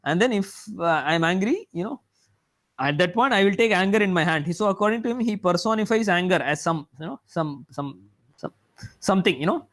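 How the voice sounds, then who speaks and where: High-voiced man, rambling lecture. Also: expressive, a little fast, fairly bright tone. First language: Tamil. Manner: rambling, filled with "you know" and restarts. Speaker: mathematics professor giving a talk. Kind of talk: lecture-style talk.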